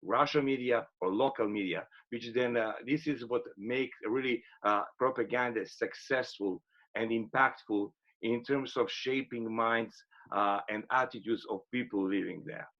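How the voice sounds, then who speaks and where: A middle-aged man moderating an academic panel on a video call from home, speaking with many fillers and pauses. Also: medium pitch. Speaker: workshop moderator. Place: home room, indoors. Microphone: laptop webcam video call. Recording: slightly dull video call audio.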